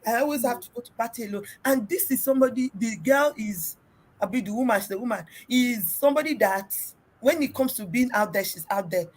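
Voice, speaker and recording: A middle-aged woman with a Nigerian accent and a big, loud voice, hosting a casual relationship talk and telling stories emphatically. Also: fairly high pitch, brisk, rough recording. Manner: emphatic, storytelling, mixes in pidgin. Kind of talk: casual group discussion.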